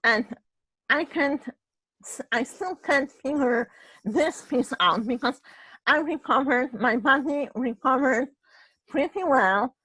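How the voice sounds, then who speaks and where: A woman from Poland with a Polish accent and a husky voice, telling a personal story in casual chat, halting and restarting her phrases and often adding "right?". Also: high voice, sharp tone, no room sound, rough recording. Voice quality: husky voice, ongoing voice problem.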